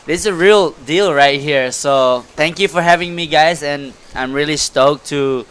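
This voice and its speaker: A man giving casual, halting, appreciative sports commentary as a guest beside the main host. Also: fairly high pitch, fairly bright tone, clean tone.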